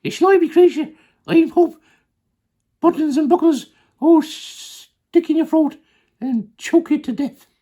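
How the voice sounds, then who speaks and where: Storybook monkey: small, agitated, indignant, shrill squealing far above a man's talking pitch. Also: slow pace, pauses often, warm tone. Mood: alarmed, indignant. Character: excitable, indignant little male monkey.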